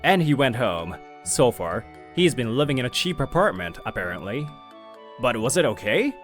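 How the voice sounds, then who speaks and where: A man's voice: mid-range voice, big pitch swings, moderate pace, a bit bright, soft background music. A man narrating as a young company president. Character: calm young male company president telling his story. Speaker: narrator and lead figure. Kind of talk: manga video narration.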